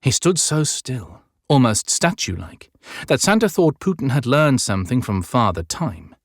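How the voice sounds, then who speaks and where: A man's voice: mid-range voice, sing-song, normal speed, dry recording, clean studio sound. A man narrating an audiobook in a scripted, dramatic storytelling style.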